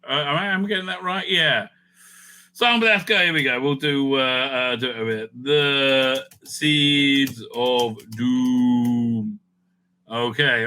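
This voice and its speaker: A man giving casual, rambling fan commentary as he ranks things, excitable and sweary, sometimes muttering to himself. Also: on the high side. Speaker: host of a fan ranking show.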